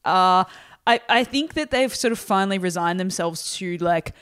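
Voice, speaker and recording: A woman's voice: lowish voice, normal speed, fairly bright tone, smooth. A young woman co-hosting a podcast, chatting casually in a sardonic, rambling way and swearing freely. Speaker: podcast co-host. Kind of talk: casual chat.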